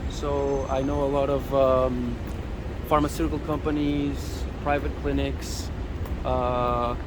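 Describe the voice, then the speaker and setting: Measured man in his twenties on a busy street. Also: mid-range voice, flat delivery. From Portugal. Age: 20s. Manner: measured, hesitant, with many ums. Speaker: interviewee. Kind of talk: street interview. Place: city street outdoors with traffic. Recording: loud street noise.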